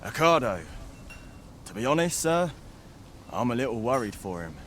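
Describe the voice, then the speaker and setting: A man's voice: medium pitch, very animated, moderate pace, a bit bright, slight background noise. A man playing a dutiful, earnest town soldier in a fantasy game, speaking courteous archaic English. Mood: concerned.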